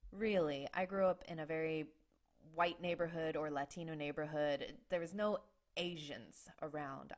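A young woman hosting a podcast, telling her own story candidly, rambling a little with fillers and restarts. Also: deep voice. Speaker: podcast host. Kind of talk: personal storytelling monologue.

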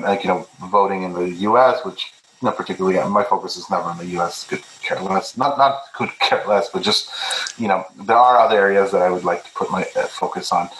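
A man's voice: fairly low pitch, fairly bright tone, slight background noise. A young man from Peru, a native Spanish speaker, talking earnestly as an interview guest and often repeating words as he starts a thought. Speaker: guest researcher. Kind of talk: casual interview talk. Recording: echoey room.

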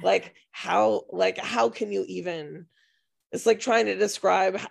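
Hoarse woman with a gravelly voice. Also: medium pitch, steady tone, a little slow. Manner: rambling, self-interrupting storytelling. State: hoarse, losing her voice. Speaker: podcast co-host and music teacher. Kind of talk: casual podcast chat.

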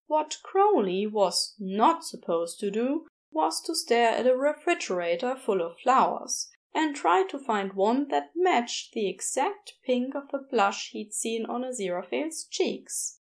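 A woman reading fiction as an audiobook narrator, expressive, with wry, deliberate emphasis. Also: fairly high pitch.

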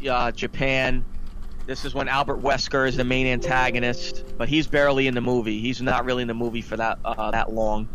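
A man's voice: medium pitch, fairly bright tone, low-quality recording. A man hosting a casual podcast, giving an exasperated, opinionated recap of films in a ranting style. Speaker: podcast host. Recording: clear background noise.

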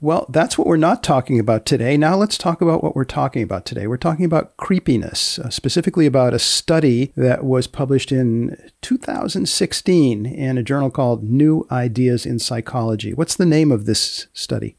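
Gravelly deadpan male podcast host. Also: medium pitch, a little fast, few pauses, no room sound, clean studio sound. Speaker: host, magazine editor. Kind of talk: podcast interview with scripted introduction.

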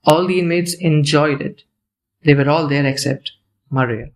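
Male narrator for audio drama: somewhat high voice, reading scripted story passages. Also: fairly even intonation, normal speed.

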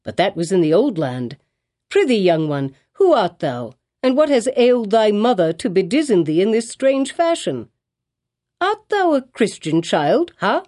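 A woman's voice: medium pitch, very animated, unhurried, a little mellow. A woman voicing a kindly, fussy old male minister with quaint archaic speech. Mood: curious.

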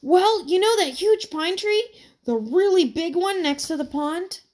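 A woman voicing an excited young boy in a storybook reading, talking fast and breathless. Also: extremely high pitch, voice pushed up. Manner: breathless, rushed.

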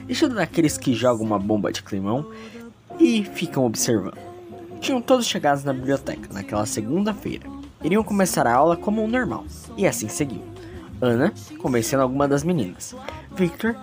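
A narrator telling a scripted story in a theatrical, self-mocking way with playful asides. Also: mid-range voice.

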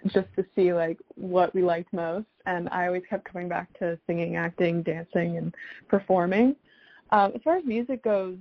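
A woman's voice: mid-range voice, no room sound, highs cut off. A young woman from South Florida chatting casually as an interview guest, peppering her answers with youthful slang. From South Florida, United States. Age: young adult. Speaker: singer-songwriter guest.

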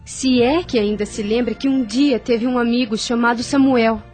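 Radio soap role, female actor: dignified immigrant mother, hurt and reproachful, higher-pitched voice over faint music. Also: steady tone, moderate pace, darkish tone, natural voice. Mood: firm, reproachful. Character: proud, wounded, reproachful immigrant mother of a young boy. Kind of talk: radio soap opera acting.

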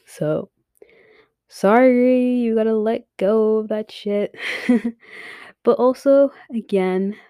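Frank young woman, slow and mellow. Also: a little high, clear, resonant tone, dry recording, studio quality. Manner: frank, swears, many fillers, drops to a whisper. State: losing her voice. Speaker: podcast host. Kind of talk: casual advice monologue.

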